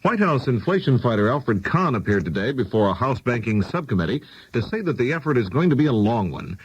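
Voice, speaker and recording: A man's voice: medium pitch, sing-song, a little fast, husky, dry recording. An American man working as a news anchor, reading a scripted radio newscast. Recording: dull 1970s AM radio broadcast.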